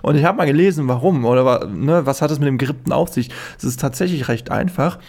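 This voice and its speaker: A man's voice: medium pitch, animated, quick pace, darkish tone. A man from Hesse with a light Hessian accent, a podcast guest chatting casually with many fillers and frequent laughs. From Hesse, Germany. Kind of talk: casual chat.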